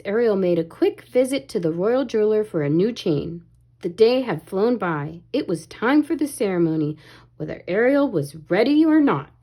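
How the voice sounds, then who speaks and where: A woman reading a children's story aloud in an expressive, animated way, as if to young listeners. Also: mid-range voice. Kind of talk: expressive read-aloud narration, pausing to ask children questions.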